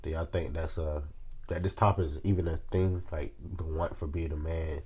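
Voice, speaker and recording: A man's voice: rumbling low, fairly even intonation, moderate pace, darkish tone, slightly rough. A young man with a Southern US accent, sick with a sore throat, chatting casually and rambling as a podcast co-host. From South Carolina, United States. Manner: rambling, joking, colloquial. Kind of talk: casual chat.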